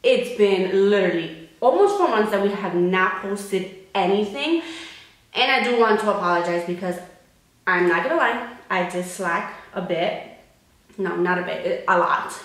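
Animated young woman in an echoey room. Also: medium pitch, measured pace. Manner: animated, expressive, mixes Spanish into English. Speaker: vlogger. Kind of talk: casual chat to camera. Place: bare indoor room.

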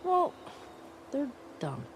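A woman's voice: high-pitched, huge pitch swings, drawn-out words, slight background noise, exaggerated voice. An actress playing a curious, teasing teenage girl in a naturalistic video game style. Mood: sassy. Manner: casual, teasing. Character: curious, playful, sassy teenage girl exploring a ruined museum.

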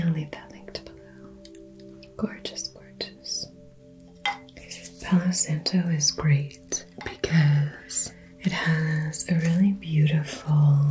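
A woman softly whispering a soothing ASMR Reiki session, speaking gently and personally to the listener as a healer. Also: deep voice, low-quality recording. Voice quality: breathy whisper. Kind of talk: soft-spoken ASMR healing session.

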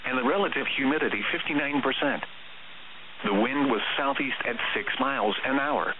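A synthetic-sounding male announcer reads a scripted weather bulletin in a measured, formal way over broadcast radio. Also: mid-range voice. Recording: hissy, narrowband radio reception.

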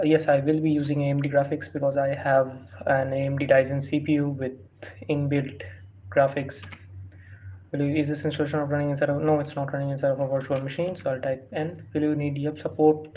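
A man who is not a native English speaker walks through a screen-recorded tutorial, reading prompts aloud with many fillers and restarts. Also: on the high side. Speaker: tutorial host. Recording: dull lo-fi sound with faint hiss.

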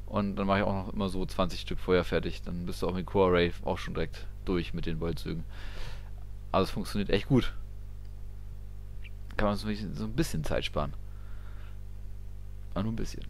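A man's voice: low-pitched, fairly even intonation, a little fast, long pauses. A man giving casual, slangy live commentary while gaming. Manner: colloquial, slangy. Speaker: gaming streamer. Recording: clear background game noise.

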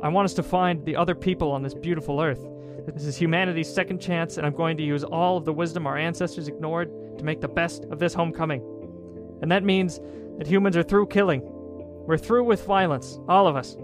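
A man's voice: fairly high pitch, steady tone, a little fast, over music, lightly acted. An actor playing an earnest, idealistic man who preaches peace in an audio drama. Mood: resolute. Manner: earnest, pleading. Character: earnest, idealistic male pacifist preacher from space.

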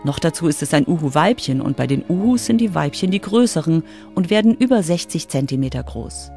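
A woman's voice: sits low, animated, brisk, warm tone. Woman narrating a children's radio story over music. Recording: music under the voice.

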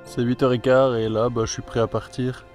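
A man talks to his camera while kayaking alone, relaxed and chatty, thinking aloud as he goes. His voice sits at an ordinary middle pitch, and some faint music plays beneath it. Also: fairly quick. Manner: relaxed and chatty, thinks aloud, swears casually, many fillers. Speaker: solo kayak traveller vlogging his trip. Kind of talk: casual vlog narration to camera. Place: outdoors by a river.

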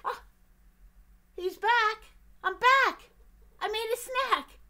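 A woman's voice: very high-pitched, slow, pushed and bright, voice pushed up, heavily acted. A woman voicing a chatty, eager little male duck in a storybook read-aloud, talking in a cheerful, pestering way. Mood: eager chatter.

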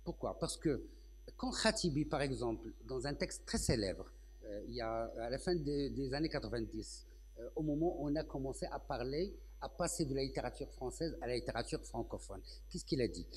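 A man's voice: mid-range voice, lively intonation, moderate pace, a little mellow. Older man, hesitant panel talk. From Morocco. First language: Arabic. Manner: thoughtful, many hesitations and restarts. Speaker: translator on a literary panel. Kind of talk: round-table talk. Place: indoor festival stage, seated on a sofa. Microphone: handheld microphone.

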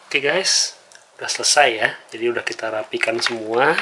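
A man's voice: medium pitch, moderate pace, noisy background. An Indonesian man giving a casual, conversational hands-on tutorial as a vlogger. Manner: conversational, often tags phrases with "ya".

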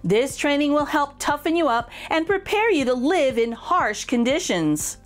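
A woman reading a picture book aloud for children in an expressive, encouraging way, addressing young listeners directly with clear emphasis. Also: sits high, moderate pace. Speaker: children's storytime reader.

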